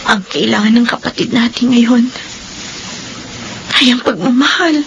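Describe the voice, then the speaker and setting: Caring young Filipino sister, higher-pitched. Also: female voice, measured pace, creaky, a bit bright, highs cut off, a little hiss or hum. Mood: earnest, compassionate. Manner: earnest, dramatic. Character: caring, earnest young woman, a supportive sister. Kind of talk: Filipino radio drama acting.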